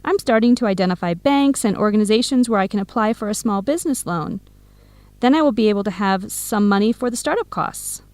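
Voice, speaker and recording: A woman's voice: mid-range voice, lively intonation, a little fast, a little mellow, natural voice. Woman acting supportive straight-talking young friend, radio drama, mid voice, no echo. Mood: upbeat. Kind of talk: scripted English-learning radio drama.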